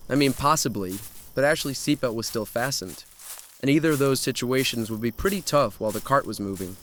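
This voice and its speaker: An adventurous young guy played by a man tells his tale in a horror audio drama. His voice sits at a medium pitch, keen on the creepy details. Faint night ambience runs behind him. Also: fairly quick, a bit bright, a little hiss or hum, natural voice. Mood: eager, intrigued. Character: Ben, adventurous thrill-seeking young man, keen storyteller.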